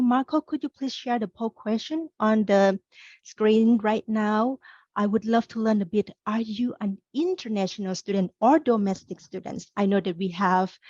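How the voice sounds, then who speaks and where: A woman's voice: mid-range voice, darkish tone, no echo. Woman career coach from thailand, cheery and encouraging, muffled dry webinar sound. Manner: upbeat, encouraging, addresses audience directly. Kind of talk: webinar presentation.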